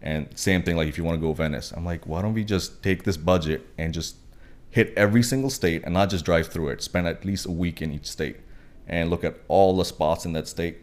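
A man's voice: low voice, a little fast. A man in his twenties from Pakistan, chatting casually as a guest and rambling with many fillers and restarts. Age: 20s. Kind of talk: casual podcast chat.

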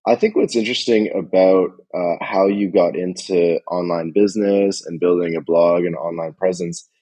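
A man hosting a casual podcast interview, thinking aloud in a measured way as he frames his questions. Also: low-pitched, steady tone, mellow, husky.

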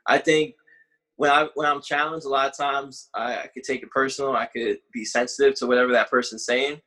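A man in his late twenties talks through his ideas in an easygoing podcast conversation. His voice sits at a middling pitch. He speaks reflectively and pauses to find the right words. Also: fairly even intonation, pushed and bright, poor audio. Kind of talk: casual conversation.

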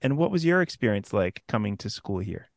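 A man's voice: fairly low pitch, very animated, fairly quick, gravelly, dry recording, studio quality. A man asking conversational questions as a podcast interviewer.

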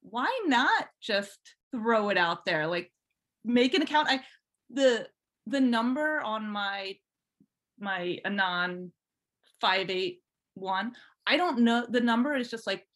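Female podcast guest, informal and chatty, relaxed personal storytelling in everyday conversational language. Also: fairly high pitch, slow pace. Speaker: podcast guest, fan fiction writer. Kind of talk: casual chat, telling personal story.

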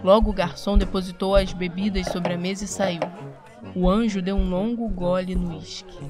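A woman narrates an audio drama from a script. Her voice is on the low side. Music plays clearly behind her words. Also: fairly even intonation, normal speed.

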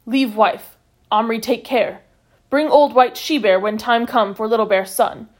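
A woman's voice: on the high side, steady tone, a little slow. A woman voicing a proud warrior chief who speaks in short, broken phrases. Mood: firm. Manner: terse, clipped broken phrases.

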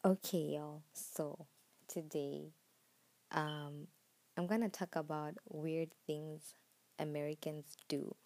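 A woman's voice: sits low, steady tone, slow, darkish tone. A woman chatting informally as a solo podcast host, telling things in a casual, conversational way. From Botswana, southern Africa. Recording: roomy echo, faint music.

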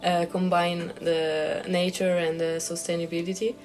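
A woman's voice: lowish voice, flat delivery, measured pace, darkish tone, soft background music. A young woman from Italy, a master's student who speaks hesitantly with many "uh"s. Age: young adult. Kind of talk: casual self-introduction.

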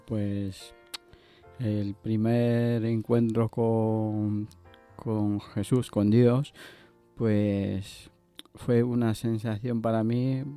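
A man giving personal testimony as an interview guest, in a hesitant, halting way. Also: fairly low pitch, mellow. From Ecuador.

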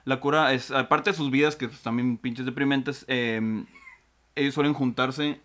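Bright-voiced slangy Mexican man chatting. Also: medium pitch, normal speed. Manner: relaxed slangy storytelling with fillers. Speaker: podcast co-host. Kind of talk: casual chat, recommending series.

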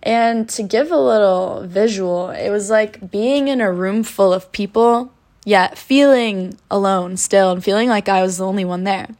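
A woman in her twenties hosting a podcast, telling her life story in a soft, reflective and earnest way, with spiritual wording. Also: medium pitch, a little slow. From United States. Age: 20s. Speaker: podcast host. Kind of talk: personal storytelling monologue.

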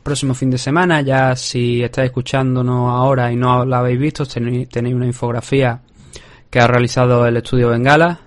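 Man, podcast host, medium-pitched voice, measured delivery, conversational sports preview, explanatory. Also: flat delivery. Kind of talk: MMA event preview commentary.

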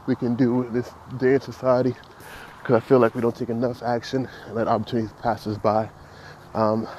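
A man in his thirties hosting a solo podcast, talking reflectively about his goals in a halting way with many restarts. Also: lowish voice, a little mellow, a little hiss or hum. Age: 30s. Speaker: podcast host. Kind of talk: solo motivational podcast monologue.

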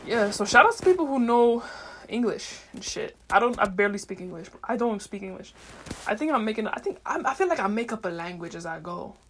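A woman hosts a solo podcast in a loose, casual way. She speaks in slang and swears freely. Her talk is unfiltered and wanders as she thinks out loud. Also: medium pitch, expressive, a little fast. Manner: meandering, thinking aloud. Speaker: podcast host. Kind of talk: casual rambling monologue.

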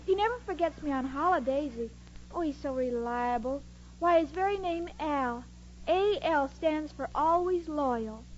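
A woman's voice: high voice, lively intonation, measured pace. An American woman playing a sweet, dim-witted, devoted young blonde in a 1940s radio comedy, naive and earnest. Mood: dreamy, devoted. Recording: muffled old radio broadcast, noisy studio audience.

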